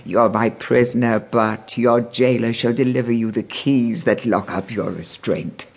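A regal stepmother queen from classical Shakespearean radio drama. Her voice sits low and moves very slowly, each phrase measured. She is smoothly reassuring and persuasive, with a scheming undertow. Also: fairly even intonation, a little mellow, exaggerated voice. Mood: falsely reassuring.

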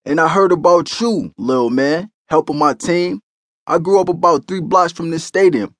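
A man's voice: on the high side, normal speed, a bit bright, dry recording, clean studio sound, pitched above the performer's own voice. A man narrating a story, voicing a famous male rapper who talks in an easygoing, slangy way. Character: laid-back famous male rapper, friendly.

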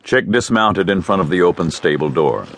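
A man narrating a scripted western story in a measured storytelling manner. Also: deep voice, animated, gravelly, fairly bright tone, no echo. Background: guitar music.

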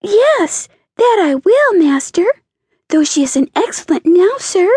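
A woman's voice: very high voice, very animated, unhurried, dark tone, dry recording, studio quality, pitched above the performer's own voice, heavily acted. A woman voicing an eager, obliging young girl in a storybook. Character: obliging young girl selling her cat. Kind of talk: storybook character voice.

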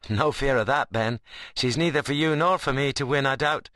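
A devout, good-humoured young rustic, played by a male narrator in an audiobook. He coaxes mildly in dialect. His voice is medium in pitch and bright, recorded dry. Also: normal speed, no echo, lightly acted. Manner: mild, persuasive rustic dialect.

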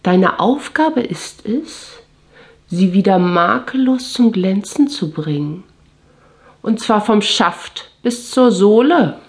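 A woman playing a haughty mistress in an intimate audio drama, commanding and condescending, often slipping into breathy whispers. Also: medium pitch, expressive, slow pace, echoey room. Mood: stern, teasing. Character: haughty dominatrix mistress commanding her servant. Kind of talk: scripted German audio drama monologue, intimate.

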